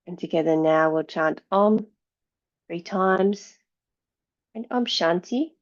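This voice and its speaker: A woman yoga teacher guiding meditation and breathing in a measured, soothing way, leaving long pauses between phrases. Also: on the low side. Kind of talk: guided yoga and meditation instruction. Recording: dull, slightly lo-fi sound.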